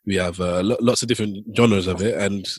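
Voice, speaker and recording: A young male podcast co-host talks quickly, often restarting and repeating his words as he sets up the conversation. His voice is a little husky and sits close and dry on the microphone. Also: deep voice, steady tone, no room sound. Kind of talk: casual conversation.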